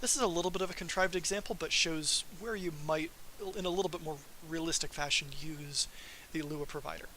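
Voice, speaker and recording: Bright-voiced man presenting a tech demo. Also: fairly high pitch, fairly even intonation, fairly quick, low-quality recording. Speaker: software developer presenting a live demo. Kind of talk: informal technical livestream presentation. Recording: faint background hiss.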